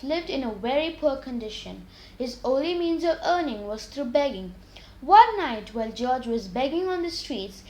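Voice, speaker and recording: Female children's story reader, high-pitched, expressive and deliberate, scripted read-aloud delivery. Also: rough recording.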